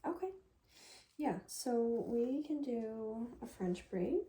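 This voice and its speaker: A woman doing a soft-spoken ASMR hair stylist roleplay, talking gently and casually as if reassuring a client in the chair. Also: a little high, expressive, takes it slowly. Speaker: ASMR creator role-playing a hair stylist.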